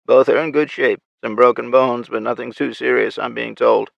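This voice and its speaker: A man voicing a tough woman squad leader with a raspy voice, giving clipped military radio reports. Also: mid-range voice, fairly even intonation, normal speed, dry recording, voice pushed up. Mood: brisk. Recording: muffled lo-fi recording.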